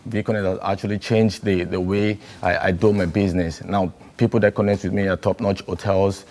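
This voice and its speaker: A man's voice: sits low, a little fast. A young man, a business owner guest, talking casually with lots of "you know". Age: young adult. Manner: relaxed, filler-heavy, "you know". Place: TV studio. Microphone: lapel.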